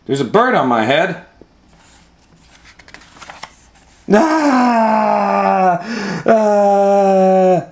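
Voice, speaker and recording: A man voicing an anxious, exasperated storybook elephant with loud, incredulous, wailing exclamations. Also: high voice, sing-song, a little slow, vocal fry, pitched above the performer's own voice, heavily acted. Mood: alarmed. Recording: roomy, echoey room sound.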